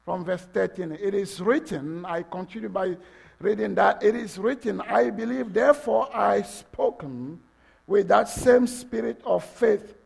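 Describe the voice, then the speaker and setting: A man from Togo with a French-English accent preaching a sermon in a church, halting with fillers, then building to passionate emphasis. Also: high-pitched, creaky, a little mellow, breathy edge. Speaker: pastor. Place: church sanctuary.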